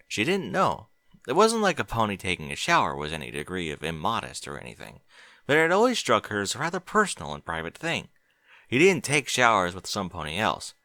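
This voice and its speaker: A man reading scripted fiction as an audiobook narrator, measured and expressive. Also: lowish voice, dry recording, studio quality.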